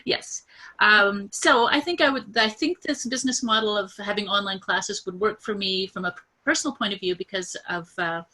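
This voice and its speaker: Nervous woman, bright and hesitant. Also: mid-range voice, moderate pace. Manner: hesitant, many fillers and restarts. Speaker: coaching client. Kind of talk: pitching a business idea on a video call. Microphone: video call.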